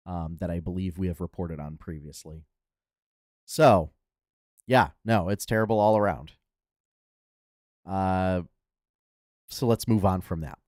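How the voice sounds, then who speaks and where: A young adult man hosting a casual gaming news podcast, talking in a relaxed way with lots of fillers, a little nasal from a cold. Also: deep voice, dry recording, clean studio sound. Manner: laid-back, many fillers and restarts. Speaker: podcast host.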